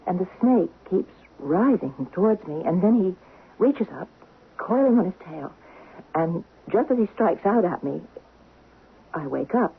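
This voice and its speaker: An actress playing an anxious, insecure, devoted young wife in a radio drama, hesitant and confiding. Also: female voice, on the low side, lively intonation, unhurried, breathy edge, exaggerated voice. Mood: fearful, confiding. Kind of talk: 1970s radio drama acting. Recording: noisy, tinny vintage radio broadcast.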